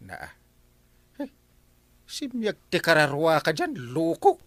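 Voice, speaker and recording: A bossy village captain with an Ilocano Filipino accent, in a comic radio drama. His pitch swings wildly as he scolds and exclaims, and the sound is close and dry. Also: wildly swooping pitch, slow pace, no echo, full character voice. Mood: scolding. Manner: exclamatory, scolding, full of interjections.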